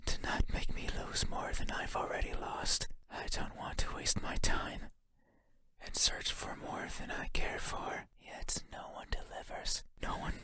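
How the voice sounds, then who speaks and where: An eerie, faceless being from a horror game, whispering every word. The delivery is breathy, slow and brooding, intimate and halting, with a lonely menace and mournful undertone. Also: male voice, bright, forceful tone. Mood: sorrowful, desperate. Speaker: voice actor.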